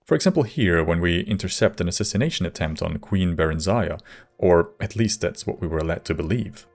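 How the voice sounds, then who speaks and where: A man's voice: low-pitched, expressive, fairly quick, creaky. A man hosting a scripted video essay about games, speaking as a narrator.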